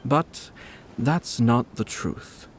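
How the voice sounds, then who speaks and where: An actor playing a shrewd, composed noble clan lord in a video game, speaking in a formal, measured, courteous way. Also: male voice, medium pitch, a little hiss or hum. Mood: calm, pensive. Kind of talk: scripted video game voice acting. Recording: faint music behind the voice. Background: soft game music.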